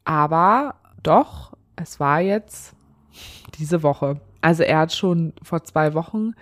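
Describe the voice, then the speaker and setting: A woman's voice: deep voice, expressive, slow pace. A woman hosting a solo podcast, talking candidly and chattily with a slightly nasal voice from a blocked nose. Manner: chatty, candid, laughs often. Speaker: podcast host. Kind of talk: casual solo podcast chat.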